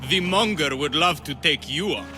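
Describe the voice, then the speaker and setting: A man's voice: fairly high pitch, animated, normal speed. An actor playing a cruel, mocking male henchman who taunts in a sneering way, in a game cutscene. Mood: taunting. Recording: game cutscene with loud music. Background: music.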